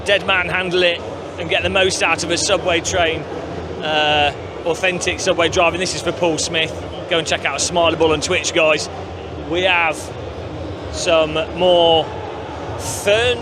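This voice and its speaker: Male host, casual upbeat walkthrough patter, fairly high voice, noisy convention hall. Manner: chatty, upbeat, lots of fillers. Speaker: gaming channel host. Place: busy gaming convention floor.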